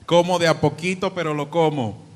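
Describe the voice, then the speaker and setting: A Dominican-accented man preaching in a church, his fairly high voice carried on a long hall reverb. He moves between fervent prayer, scripture reading and easy chat with the congregation. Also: fairly even intonation, moderate pace. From Dominican Republic. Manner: prays and reads scripture aloud, then talks chattily to the congregation. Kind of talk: sermon.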